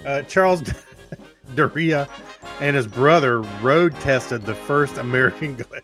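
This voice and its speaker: A man co-hosting a podcast, chatting and reading segments in a halting, rambling, joking way. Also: medium pitch, fairly bright tone, faint music behind. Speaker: podcast co-host. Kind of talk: casual chat and segment reading.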